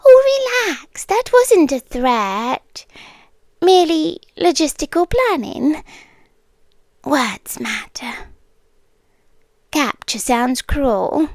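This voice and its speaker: A woman acts out an obsessive monster queen with a British accent in an ASMR roleplay. Her voice is high and hushed, often sinking into a whisper, very close and dry. She speaks slowly and regally, with a soft, possessive menace. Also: big pitch swings, no echo, heavily acted. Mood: coolly amused, controlling. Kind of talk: ASMR roleplay, intimate scripted monologue.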